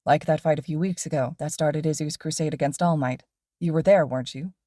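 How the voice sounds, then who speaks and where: A quiet, serious teenage boy, as a woman reads him, low and soft with a reserved, muttering delivery. The recording is close and dry. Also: fairly quick, dry recording.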